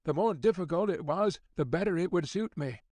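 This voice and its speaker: A man's voice: high-pitched, lively intonation, fairly quick, darkish tone, dry recording. A man playing an ardent young newspaper reporter in his twenties, polite and earnest, in an audiobook. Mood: earnest, pleading. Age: 20s. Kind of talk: audiobook character dialogue.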